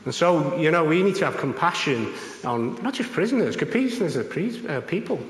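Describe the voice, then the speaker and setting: A man preaching an informal sermon in a rambling, conversational way, his voice a little croaky. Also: fairly high pitch, big pitch swings, brisk, roomy sound, in a large hall, poor audio. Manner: conversational, rambling with many fillers. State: slightly croaky voice.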